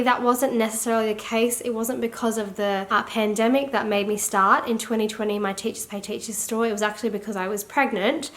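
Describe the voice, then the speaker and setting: Brief: Australian woman vlogger, slightly congested and croaky, a touch high-pitched, rambling casual chat. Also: fairly bright tone. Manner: rambling, filler-heavy, laughs often. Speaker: teacher and online resource seller. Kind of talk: casual chatty vlog.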